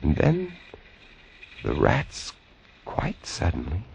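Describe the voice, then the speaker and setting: Grim, low-voiced lighthouse keeper. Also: male voice, huge pitch swings, gravelly, a little mellow, slight background noise, heavily acted. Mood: bleak, dread. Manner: intense, deliberate, dramatic pauses. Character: haunted lighthouse keeper recounting a siege, grim, desperate. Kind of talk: 1950s radio drama first-person narration. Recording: vintage 1950 radio recording.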